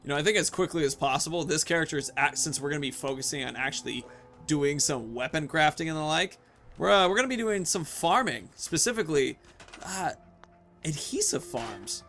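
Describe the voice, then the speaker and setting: Casual man doing game commentary, slightly high voice. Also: lively intonation, a little fast, pushed and bright, soft background music. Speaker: video game streamer, host.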